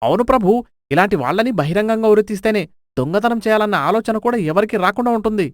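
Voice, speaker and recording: A man's voice: sits high, lively intonation, quick pace, dry recording, clean studio sound. A man voicing a flustered, deferential royal minister who complains, in a dramatised folk tale. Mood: indignant. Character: flustered, fawning royal minister, eager for harsh punishment.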